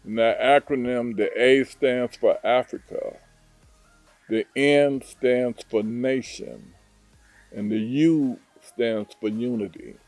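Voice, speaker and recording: A man from Detroit, a hotel owner, answering interview questions in an earnest, unhurried way with frequent fillers. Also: mid-range voice, a little mellow, no echo, faint music behind. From Detroit, USA. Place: hotel in Zanzibar.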